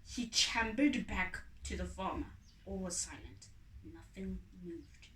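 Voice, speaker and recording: A woman storyteller reads a storybook with deliberate, dramatic phrasing. Her pace is very slow and her high voice rises and falls widely. The room echoes around her, away from the microphone. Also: expressive, fairly bright tone, a little hiss or hum. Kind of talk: expressive storybook reading. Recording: echoey room, distant from mic.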